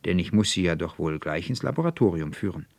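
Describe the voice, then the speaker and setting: A man voicing a brisk, imperious old archivist and magician in a fairy tale, loud and hearty. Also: low-pitched, expressive, vocal fry, no room sound, full character voice. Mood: brisk greeting.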